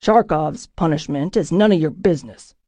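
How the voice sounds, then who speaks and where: A woman voicing a stern middle-aged male ship captain, speaking in low, clipped, menacing commands for an audiobook. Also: moderate pace, warm tone, dry recording. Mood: cold. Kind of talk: audiobook character voice.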